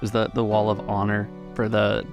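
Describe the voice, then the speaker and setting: A man's voice: on the low side, monotone, normal speed. A man improvising scene narration as game master of a tabletop game. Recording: faint music behind.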